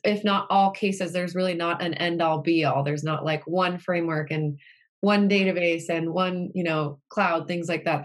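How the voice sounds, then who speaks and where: A woman hosting a casual interview, friendly and relaxed, speaking with fillers and small laughs. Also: on the low side. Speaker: podcast host.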